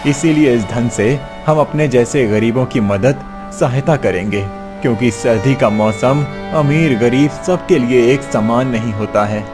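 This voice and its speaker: A man's voice: medium pitch, a little slow, few pauses, over music, lightly acted. A man acting a poor, hardworking, noble-hearted father and labourer in a cartoon moral story, earnest and emotional. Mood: solemn, kind.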